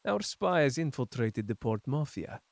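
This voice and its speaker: A man acting a calculating, sinister spymaster villain in an audio drama, formal and measured in manner. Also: mid-range voice, very animated, vocal fry, no echo. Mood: coolly scheming.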